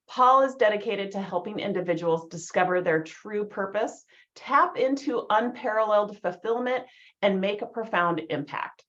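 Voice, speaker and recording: A woman's voice: medium pitch, fairly bright tone. A woman hosts a podcast, reading out her guest's introduction and then chatting eagerly. Her audio sounds a little dull and muffled. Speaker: podcast host. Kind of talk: scripted introduction, then casual interview chat.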